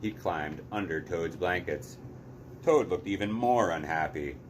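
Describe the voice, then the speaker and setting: Male read-aloud host, deep and unhurried, expressive story delivery over a faint steady hiss.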